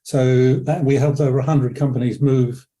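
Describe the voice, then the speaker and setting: An older man from England, croaky from a cold, hosting a webinar in a rambling way with many ums and self-corrections over a video call. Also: mid-range voice, fairly even intonation, normal speed, dark tone. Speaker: webinar presenter. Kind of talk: relaxed webinar talk.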